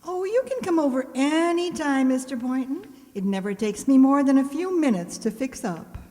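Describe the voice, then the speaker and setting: A woman acts out a sharp-witted schoolteacher in a radio comedy, tossing off dry, sarcastic lines. Her voice sits high and swings animatedly up and down, in a long hall-like reverb. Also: expressive, moderate pace. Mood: eager, sardonic. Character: wry, quick-witted woman schoolteacher, hopeful in love. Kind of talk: comedic old-time radio acting.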